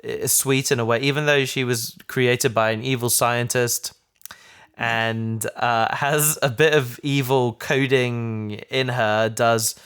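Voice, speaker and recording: Clear-voiced man hosting a casual podcast. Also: mid-range voice, fairly even intonation, fairly bright tone. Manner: halting, hesitant phrasing. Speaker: podcast host and show creator. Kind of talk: casual chat.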